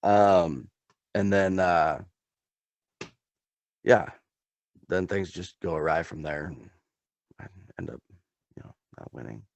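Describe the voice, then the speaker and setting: Deep-voiced laid-back man, dry sound. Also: slow, creaky, long pauses, dry recording. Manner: laid-back, chatty, says dude often. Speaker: podcast co-host. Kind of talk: casual chat.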